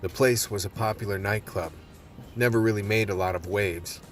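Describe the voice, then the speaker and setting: A man's voice: fairly low pitch, steady tone, normal speed, soft background music, lightly acted. A man playing a world-weary, tense vice cop who narrates a radio play in a hard-boiled manner. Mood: tense, brooding.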